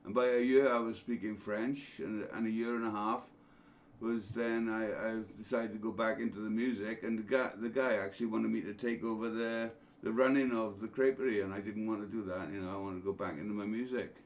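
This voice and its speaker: A man's voice: fairly low pitch, steady tone, a little fast, a little hiss or hum. A middle-aged man telling blunt, sweary anecdotes in a casual group chat. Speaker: guest telling his own story. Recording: dull lo-fi online call audio.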